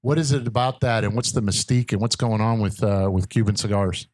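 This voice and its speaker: A man's voice: fairly low pitch, fairly quick, darkish tone, dry recording. An American man hosting an interview in a casual, chatty style. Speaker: interviewer, host. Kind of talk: casual chat.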